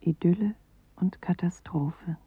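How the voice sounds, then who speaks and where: A performer reciting as a grave, solemn narrator in a literary radio play, with a breathy, husky voice and measured delivery. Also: mid-range voice, mellow, no room sound. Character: grave poetic narrator reciting bleak apocalyptic images. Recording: narrow phone-like 1980s radio recording.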